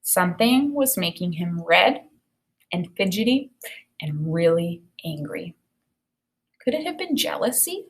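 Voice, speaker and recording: A woman in her thirties, an elementary teacher, reading a children's novel aloud and explaining it in an expressive way. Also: fairly low pitch, a little slow, pauses often. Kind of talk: read-aloud of a children's novel with think-aloud commentary. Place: small indoor room with bookshelf and whiteboard.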